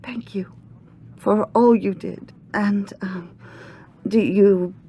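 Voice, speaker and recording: An actress playing a grieving older mother in a video game, gentle and hesitant, with a breathy, pleading delivery. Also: medium pitch, steady tone, slow. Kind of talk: video game cutscene acting.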